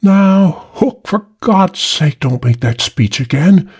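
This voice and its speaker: A man voicing a young, exasperated woman in a gang, pitching his voice up. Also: animated, slow, darkish tone, husky, heavily acted. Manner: exasperated, pleading.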